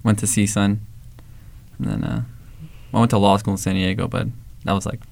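A young man with a low, gravelly voice that barely rises or falls. He talks in a relaxed interview style, full of "like" and restarts, as a shop co-owner from the Valley. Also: fairly quick, dry recording. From Los Angeles, San Fernando Valley. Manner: relaxed storytelling, many fillers and restarts. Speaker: ice cream shop co-owner. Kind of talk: casual interview answers.

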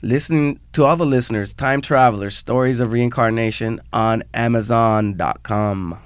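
A man's voice: mid-range voice, moderate pace, smooth, no echo. Upbeat man hosting a radio show. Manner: upbeat, hyping, talks to listeners directly. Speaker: radio host. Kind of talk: radio interview.

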